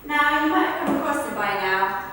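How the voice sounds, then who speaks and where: A woman's voice: high-pitched, lively intonation, moderate pace, bright, forceful tone. A woman rehearsing verbatim witness accounts for the stage, telling them in a conversational but projected way. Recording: echoey hall, far from mic, faint noise.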